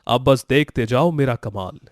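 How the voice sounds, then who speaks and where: A man's voice: medium pitch, normal speed, no room sound, clean studio sound, natural voice. A man voicing a magical talking sugarcane in a children's story, confident, boastful and reassuring. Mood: proud, showy. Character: magical talking sugarcane, helpful, boastful, kindly male creature. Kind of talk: dramatized Hindi moral story for children.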